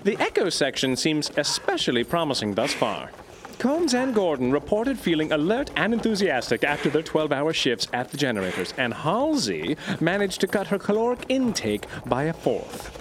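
An actor playing an earnest, obsessive scientist dictating research notes in formal, grandiose language for an audio drama. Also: male voice, on the high side, huge pitch swings, normal speed, noisy background. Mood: earnest, hopeful. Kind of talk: audio drama monologue as journal narration.

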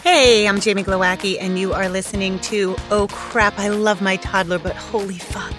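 A woman's voice: mid-range voice, steady tone, moderate pace, fairly bright tone, soft background music. A woman hosting a parenting podcast, chatty and frank, reading announcements and interviewing a guest. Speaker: podcast host.

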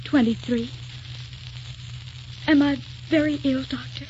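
An actress playing a tender, devoted young wife in a 1940s radio drama, earnest and romantic. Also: female voice, sits high, fairly even intonation, slow, darkish tone, slight background noise. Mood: brave, subdued. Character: tender, devoted, frail twenty-three-year-old wife of a writer. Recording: dull vintage 1940s radio recording, music behind.

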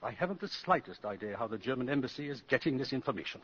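Casting: stately British diplomat, breathy rasp, animated pitch, heard through dull old radio. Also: male voice, quick pace. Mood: stiff, affronted. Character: dignified, stiff senior male British ambassador. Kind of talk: 1950s radio drama acting. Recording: muffled, reverberant 1950s radio broadcast.

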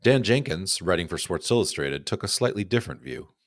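Low-voiced man narrating a podcast documentary. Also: expressive, a little fast, no echo, clean studio sound. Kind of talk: scripted narrative documentary narration with read-out quotes.

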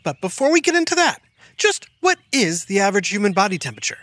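A woman's voice: medium pitch, very animated, quick pace, a bit bright, dry recording. A woman doing a stuffy-nosed friendly narrator. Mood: quizzing. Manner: quizzing kids with multiple-choice questions. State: stuffed-up nose. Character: friendly narrator with a bad head cold. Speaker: show narrator.

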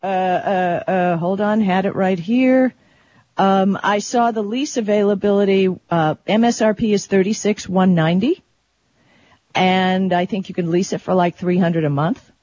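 An American woman co-hosts a talk show in a medium-pitched voice. Her delivery is casual and chatty. The recording is dull and lo-fi. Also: fairly even intonation, measured pace, a little mellow, no echo. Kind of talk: casual chat. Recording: dull lo-fi audio.

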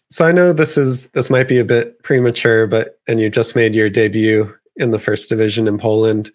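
A man hosts an interview and asks long, casual questions that are full of fillers. His voice sits slightly low, and his tone stays relaxed and conversational.

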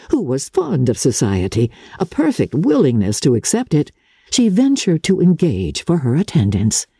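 A woman narrating classic fiction in a theatrical, measured and deliberate literary style, with a husky voice. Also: rumbling low, warm tone, no room sound, studio quality. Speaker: audiobook narrator.

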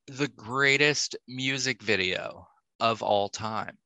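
A man works as a podcast host, putting casual questions to a guest. His voice is bright and close with a dry sound, and his pace is slow. He sounds encouraging and keeps his responses short. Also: medium pitch, no room sound. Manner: encouraging, brief approving replies.

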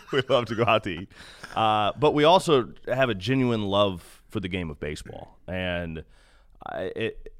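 A man with a deep voice talks as a guest in a relaxed interview. He is a radio announcer, speaking in an earnest, reflective, conversational way. The recording is very dry. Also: unhurried, no room sound. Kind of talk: casual interview chat.

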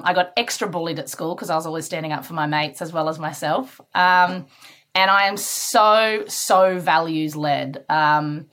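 A woman's voice: lowish voice, fairly bright tone. A woman in her thirties from Australia, chatting upbeat as an election candidate. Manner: chatty and upbeat, many fillers.

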